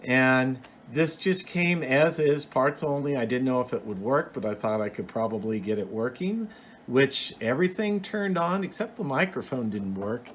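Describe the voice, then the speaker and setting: A man's voice: medium pitch, lively intonation, moderate pace. Man casually showing gear, noisy background. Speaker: hobbyist video host. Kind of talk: casual unscripted show-and-tell. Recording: clear background noise.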